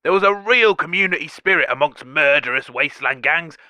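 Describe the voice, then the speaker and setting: A man's voice: on the high side, animated, brisk, bright, forceful tone, no room sound, voice pushed down. A man voicing a boastful, brash wasteland fashion follower in a comedy show.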